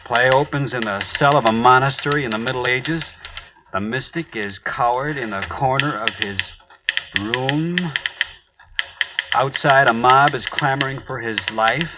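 An actor playing a troubled radio playwright who works late at night, agitated and halting as he mutters to himself in old-time radio drama style. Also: mid-range voice, noisy background, lightly acted. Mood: ominous. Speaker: actor in a radio horror play. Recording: dull old radio recording, off mic.

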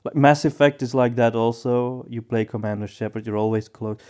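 A man co-hosting a podcast in casual discussion, with halting phrasing that often doubles back on words. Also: mid-range voice, fairly even intonation, darkish tone, smooth. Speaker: podcast co-host.

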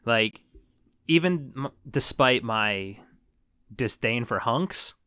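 A man's voice: mid-range voice, expressive, slow, bright, forceful tone, clean tone, no room sound, studio quality. A man in his thirties co-hosting a casual movie podcast, joking and swearing freely. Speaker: podcast co-host.

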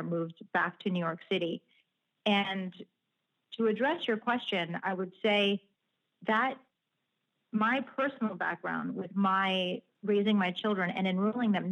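A woman guest, an author and journalist whose first language is Japanese, answering in a measured, articulate, detailed way. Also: mid-range voice, fairly even intonation, a bit bright, lo-fi sound. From United States. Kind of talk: interview answers.

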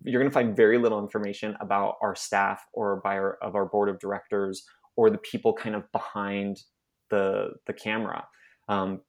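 A young adult man from Arizona, a nonprofit staff member, telling his personal story in an interview, halting and emotional with many restarts. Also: lowish voice, level tone.

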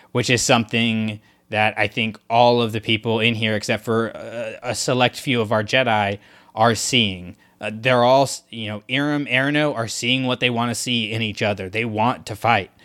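A man's voice: mid-range voice, pushed and bright. A man co-hosting a casual discussion podcast, talking things through in a thoughtful, analytical way with frequent restarts. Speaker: podcast co-host.

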